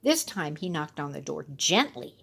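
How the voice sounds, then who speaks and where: A woman's voice: deep voice, very animated, normal speed, creaky, a bit bright, slight background noise. A woman telling a children's fairy tale expressively, with dramatic and playful emphasis. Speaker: storyteller.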